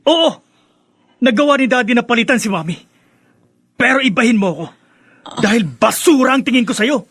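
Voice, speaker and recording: Bitter, high-pitched young son. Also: male voice, lively intonation, brisk, a bit bright, husky, no room sound, studio quality, full character voice. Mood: angry, scornful. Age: young adult. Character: bitter, defiant young son who despises his stepmother. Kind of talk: Filipino radio drama acting.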